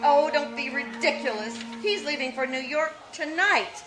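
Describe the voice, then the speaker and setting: A woman's voice: high voice, animated, moderate pace, over loud music. A woman acting a ruthless scheming young plotter. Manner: cold, commanding, bossy. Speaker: radio play actor. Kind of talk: 1940s radio acting.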